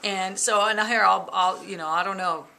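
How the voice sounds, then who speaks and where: A woman in her forties, a bass player and songwriter, chatting casually in an interview at home, lively with lots of fillers. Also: normal speed, creaky, low-quality recording. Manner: lively, chatty, laughs often, many fillers. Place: living room at home. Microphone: camera in front, close framing.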